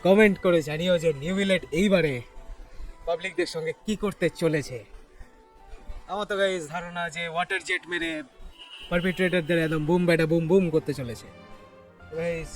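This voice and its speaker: A male gaming host with a Bengali accent chats informally to his viewers. His slightly high voice races along at great speed, with game music faintly behind it. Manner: informal, addresses viewers directly. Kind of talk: casual gameplay commentary.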